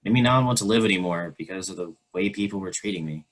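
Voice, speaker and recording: A performer reading a teenager's part in a staged play reading over a video call, earnest and quietly emotional. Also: male voice, low voice, quick pace. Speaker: actor reading a teen character in a play.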